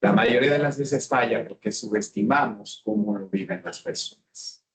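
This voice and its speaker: A man's voice: mid-range voice, big pitch swings, moderate pace, creaky, rough recording. A man with a Mexican accent giving a business seminar talk and often asking the audience questions. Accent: Mexican Spanish. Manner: engaging, asks the audience questions. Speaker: speaker.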